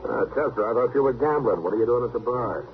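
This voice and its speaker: An American man acting a steady, dryly amused frontier marshal in an old-time western radio drama, unhurried and a little hesitant. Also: medium pitch, lightly acted. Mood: dryly probing. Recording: muffled, noisy vintage radio recording.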